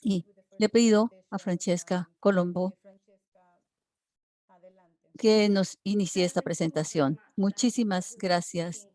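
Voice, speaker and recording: A woman working as a simultaneous interpreter for an online seminar. She keeps a steady delivery, broken by frequent hesitation fillers. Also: on the low side, slow, pauses often. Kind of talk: live interpretation for a webinar.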